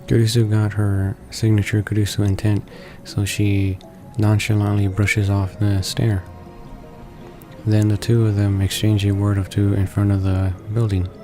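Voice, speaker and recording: A man reads game narration aloud in a low, unhurried voice that stumbles and restarts now and then. His delivery swings up and down only modestly, with music clearly playing behind him. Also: little pitch movement. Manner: halting reading with stumbles and self-corrections. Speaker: visual novel let's player reading along. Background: visual novel background music.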